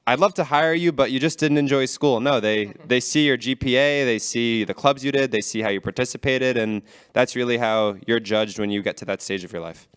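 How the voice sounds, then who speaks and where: A man's voice: mid-range voice, a little fast, few pauses, fairly bright tone, clear, resonant tone, no echo. A man on a panel giving matter-of-fact advice. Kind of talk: panel discussion remarks.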